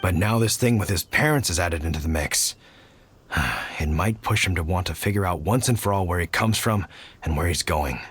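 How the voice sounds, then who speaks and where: A middle-aged man voicing an easygoing, fatherly hunter who talks in a relaxed, thoughtful way. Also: deep voice, moderate pace, slight background noise. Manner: relaxed, thoughtful, frequent sighs. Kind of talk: game cutscene voice acting.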